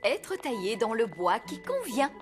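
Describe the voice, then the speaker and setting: A bossy fairy nanny, brisk and easily exasperated. Her high voice swoops theatrically as she fusses like a schoolmistress in a cartoon, with music clearly playing behind her. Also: sing-song. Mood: brisk, instructive. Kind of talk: French cartoon dubbing.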